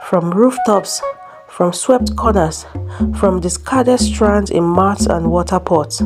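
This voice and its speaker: A woman narrating a scripted folktale in a dramatic, suspenseful way, with deliberate phrasing. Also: mid-range voice, vocal fry. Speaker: storyteller narrator. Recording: prominent music under the voice. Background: loud music.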